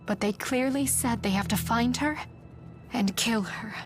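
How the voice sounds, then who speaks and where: An actress playing an earnest, wary village woman who speaks politely in a hushed way in a video game drama. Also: fairly high pitch, measured pace, over music, close to an everyday voice. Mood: anxious.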